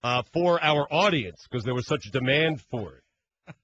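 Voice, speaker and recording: A man's voice: mid-range voice, lively intonation, measured pace, highs cut off, crowd noise. A man co-hosting a sports radio show, telling rambling, joking stories in casual chat. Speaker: radio co-host.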